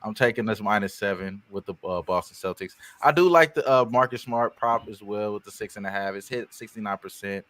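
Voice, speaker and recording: A man's voice: lowish voice, a little fast, few pauses, a bit bright, dry recording. A man on a sports betting panel, casually chatting through his picks.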